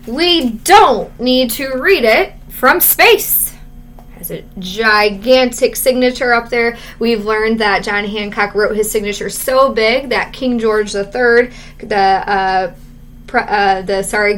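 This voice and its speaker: A woman reading a children's storybook aloud as a teacher, expressive and measured, stressing key words and adding asides. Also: medium pitch, slight background noise.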